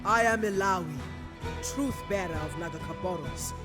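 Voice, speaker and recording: An actress playing a bold, proud warrior priestess in a video game cutscene, speaking in a measured, declamatory way. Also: female voice, fairly low pitch, over music, natural voice. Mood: confident, wry. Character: bold, commanding warrior priestess, proud champion of her people.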